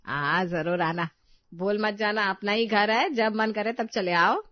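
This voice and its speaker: A woman voicing a bossy, sarcastic middle-aged mother-in-law in a family story, nagging theatrically and loudly. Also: medium pitch, unhurried, dry recording, muffled recording, close to an everyday voice. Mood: casual.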